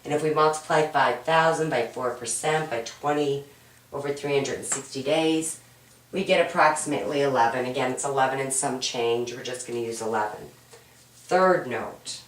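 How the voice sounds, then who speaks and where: A woman teaching an accounting homework walkthrough, hoarse and raspy from a cold, patient and step-by-step, often checking in with "right?". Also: very deep voice, normal speed, slight background noise. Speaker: accounting instructor. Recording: roomy echo, mic at a distance.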